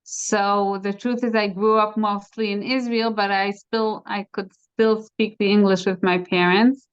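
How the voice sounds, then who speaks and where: A middle-aged woman with an American accent, a guest in an interview, speaking haltingly with many fillers and restarts. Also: medium pitch, little pitch movement, clear, resonant tone. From United States. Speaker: interview guest, bereaved mother. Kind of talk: casual conversation. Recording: dull lo-fi sound.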